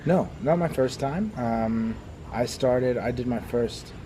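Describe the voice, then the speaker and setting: A man's voice: medium pitch, slow pace, slight background noise, poor audio. A young man from Hong Kong, a former travel agent, telling travel stories in a casual interview. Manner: relaxed storyteller, animated when telling anecdotes.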